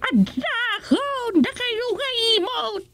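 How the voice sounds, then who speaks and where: A woman playing a cranky, nagging old village woman in a rural comedy radio drama, scolding in a shrill voice. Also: very animated, a bit bright, faint music behind, full character voice. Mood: grumbling. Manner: scolding, fussy, fast.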